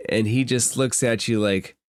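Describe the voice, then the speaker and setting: A man narrating a tabletop fantasy game as game master, describing scenes in improvised, halting phrases and thinking aloud. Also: medium pitch, steady tone, smooth, no echo, clean studio sound.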